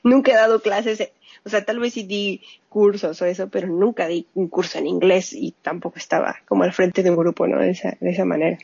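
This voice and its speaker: A woman's voice: fairly low pitch, brisk, creaky, husky, no room sound. A woman, a scientist and mother, telling stories in casual conversation and quoting herself. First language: Spanish. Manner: animated storyteller, quotes herself. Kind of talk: casual interview chat. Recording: muffled lo-fi dry audio.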